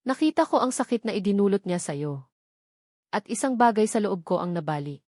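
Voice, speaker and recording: A woman's voice: mid-range voice, animated, fairly quick, darkish tone, dry recording, clean studio sound, natural voice. A woman voicing a remorseful, tender man in an earnest, pleading way, with a Filipino accent, in a narrated romance story. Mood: regretful, tender. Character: remorseful, tender adult man confessing his love.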